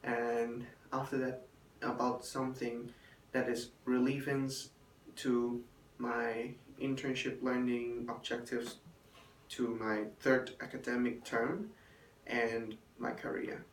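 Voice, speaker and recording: A young man, a hotel intern and native Chinese speaker, giving a halting spoken evaluation in English with many fillers. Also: mid-range voice, flat delivery. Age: young adult. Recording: roomy, distant, faint room noise.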